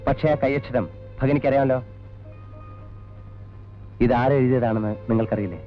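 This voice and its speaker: An actor playing a stern, methodical police investigator in an old Malayalam film, formal and insistent. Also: male voice, mid-range voice, normal speed, close to an everyday voice. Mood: probing, firm. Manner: formal, insistent, mixing in English words. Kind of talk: 1980s Malayalam film acting. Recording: dull old film soundtrack with music.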